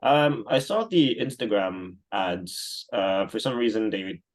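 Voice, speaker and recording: A man in his thirties from Singapore, answering interview questions in a relaxed, rambling way with many fillers and restarts. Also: lowish voice, slow. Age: 30s. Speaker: guest.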